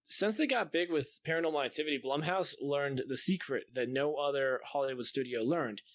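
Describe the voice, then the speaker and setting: A man's voice: mid-range voice, a little fast, a bit bright. A man talking casually as a podcast co-host, explaining things in an emphatic way.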